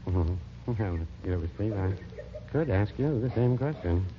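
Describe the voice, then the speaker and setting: An actor playing a mild, prim, deadpan older small-town druggist in a 1940s radio comedy. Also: male voice, on the low side, a little slow. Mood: mild, chatty. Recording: dull, noisy old radio recording.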